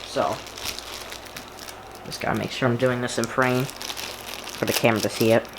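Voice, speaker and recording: A man with a medium-pitched voice presents an unboxing in a casual, informal way. He describes each small step aloud as he handles things. The home camera recording carries clear background noise. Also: steady tone, moderate pace. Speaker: hobbyist video creator presenting an unboxing. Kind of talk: casual hands-on unboxing commentary.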